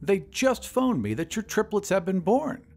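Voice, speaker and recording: Man acting a booming, pompous, hearty giant doctor. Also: very animated, moderate pace, gravelly, darkish tone, faint music behind, exaggerated voice. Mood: cheerful. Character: huge hearty self-important male doctor, booming and jovial.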